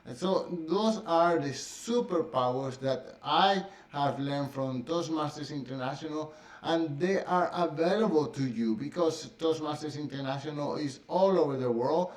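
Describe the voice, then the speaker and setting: A middle-aged man who speaks English as a second language, Spanish being his first, talking casually to camera. His voice sits a bit high for a man, and he stumbles through fillers and restarts. The room around him is echoey. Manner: halting, many fillers and restarts. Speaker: vlogger.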